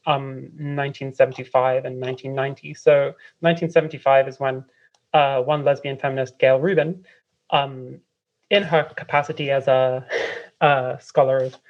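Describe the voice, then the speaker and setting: A woman with a London accent giving casual, discursive, hesitant explanations as an interview guest. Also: very deep voice. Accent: London English. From London, England. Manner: hesitant, discursive, frequent "like" and "kind of". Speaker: interview guest, writer and editor. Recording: slightly dull lo-fi audio.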